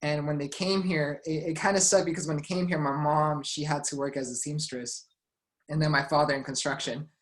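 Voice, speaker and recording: A man from Los Angeles, casually introducing himself as co-presenter on a video call, relaxed and chatty with light laughs. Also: a little high, brisk. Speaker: webinar co-presenter.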